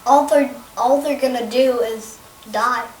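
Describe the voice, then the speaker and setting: High, slow-spoken young female student. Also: fairly even intonation, echoey room, poor audio. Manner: thoughtful, hesitant with fillers. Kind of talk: casual classroom discussion. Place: home.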